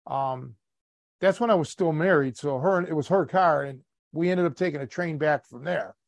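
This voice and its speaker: American guy on a podcast, gravelly fry in his voice, rambles with lots of ums, dry close sound. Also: a little high, moderate pace, no echo. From United States. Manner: rambling, with many fillers and restarts. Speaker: podcast host. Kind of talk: casual chat.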